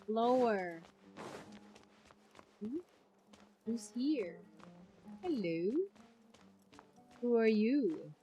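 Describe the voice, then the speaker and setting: A woman's voice: a little high, animated, very slow, pauses often, warm tone, dull audio, soft background music. A woman with a Filipino accent giving casual, chatty livestream game commentary, thinking aloud as she plays. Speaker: game streamer. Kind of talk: casual livestream gameplay commentary in mixed English and Tagalog.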